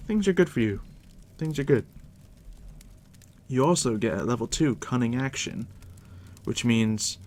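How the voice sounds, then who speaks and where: A man softly near-whispering in an ASMR roleplay as a game master, casual and unhurried with a dry, teasing manner. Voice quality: deep, hushed near-whisper. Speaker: ASMR roleplay host, playing a dungeon master guiding a new player. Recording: steady background noise, crackling fire ambience. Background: fire crackle.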